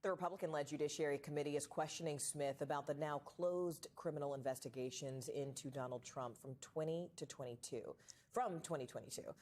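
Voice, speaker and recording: A woman anchoring a television news segment with a measured, polished delivery. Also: sits low, darkish tone.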